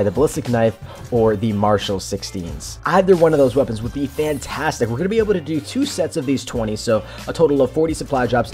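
Fast-talking hyped young man. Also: mid-range voice. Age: young adult. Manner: hyped, chatty, bursts of excitement. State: slightly raspy voice after yelling. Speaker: gaming YouTuber. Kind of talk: excited gameplay commentary. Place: bedroom.

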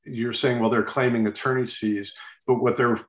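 Measured judge questioning counsel, roomy sound. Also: male voice, mid-range voice, steady tone. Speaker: appellate judge. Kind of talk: questioning counsel in a remote oral argument. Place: indoor office, video call. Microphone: video call. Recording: roomy video call audio.

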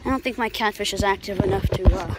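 Excitable teen boy with a high voice, out in the woods. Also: fast talker. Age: teens. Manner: excitable, chatty, cursing under his breath. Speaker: vlogger. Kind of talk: casual outdoor vlog. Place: outdoors in leafy woods. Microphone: handheld phone camera. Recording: loud outdoor noise on phone.

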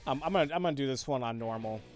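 A man's voice: medium pitch, lively intonation, very fast. Rambling young man streaming a game. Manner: rambling, frequent restarts, blunt and opinionated. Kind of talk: casual game commentary with chat replies. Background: game music and sound effects.

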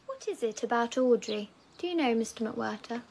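Catty jealous young wife, fairly high voice, petulant, radio drama. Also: female voice, animated, normal speed, gravelly, darkish tone, a little hiss or hum. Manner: petulant, cutting. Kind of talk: British radio drama acting.

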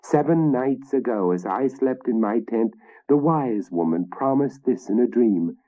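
A man's voice: mid-range voice, expressive, measured pace, voice pushed up. A man reading a storybook and voicing an ambitious Danish invader king who speaks in a grandiose, commanding way, in a dull lo-fi recording.

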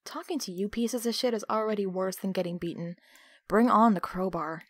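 A woman's voice: medium pitch, fairly quick, dry recording, close to an everyday voice. Woman playing a bitter, defiant captive, dry recording. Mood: defiant contempt. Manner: emotional, accusing, sharp-tongued. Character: defiant, betrayed female captive, bitter and hurt. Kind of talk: audio roleplay acting.

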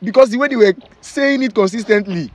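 A man's voice: sits high, brisk, no echo. A man playing a furious betrayed husband. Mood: aggrieved. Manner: exasperated, ranting.

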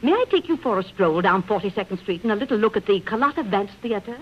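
An actress playing an aging, witty, grand stage star in wry, theatrical 1930s radio style. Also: female voice, mid-range voice, expressive, quick pace, lightly acted. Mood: wry complaining. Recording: dull, noisy 1930s radio broadcast.

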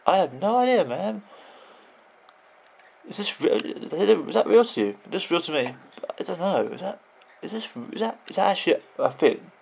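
A man in his thirties with a croaky voice, rambling off the cuff as he vlogs about video games at his computer, full of restarts and "you know"s. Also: sits high, very animated, normal speed, a little mellow, a little hiss or hum. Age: 30s. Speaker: video game vlogger. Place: indoors at a computer.

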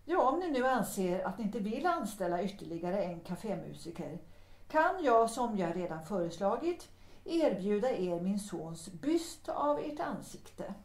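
A woman's voice: mid-range voice, sing-song, darkish tone. A woman acting a proud, determined widowed mother in a Swedish audio drama, speaking in a firm, cool, formal way. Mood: proud, insistent. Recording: roomy, echoing sound.

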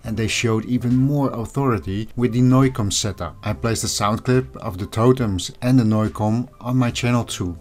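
A man's voice: on the low side, darkish tone. A man hosting an audio review channel, narrating a scripted product review.

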